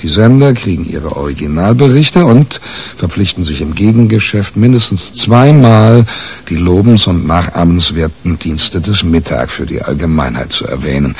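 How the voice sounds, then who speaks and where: An actor playing a cynical, self-assured press reporter, narrating a radio crime drama in a wry, colloquial, boastful manner. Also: male voice, deep voice, normal speed, darkish tone. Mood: wry, dry.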